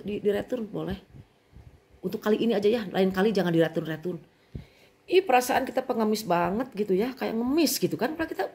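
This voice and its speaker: A middle-aged woman with a Sundanese accent, venting in an indignant, animated monologue as a vlogger. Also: mid-range voice.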